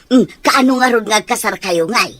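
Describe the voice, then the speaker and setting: A woman acting a doting, excitable older mother eager for grandchildren in an Ilocano audio drama. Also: fairly high pitch, normal speed. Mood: delighted.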